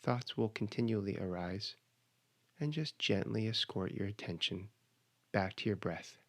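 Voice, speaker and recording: Man, low-pitched voice, meditation guide, measured instructional delivery, pauses between phrases.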